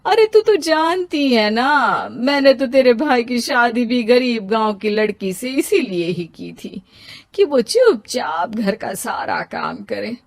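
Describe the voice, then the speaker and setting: A woman voicing a selfish, lazy older mother in an animated moral story, drawling and dismissive. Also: on the high side, slow, no room sound, full character voice.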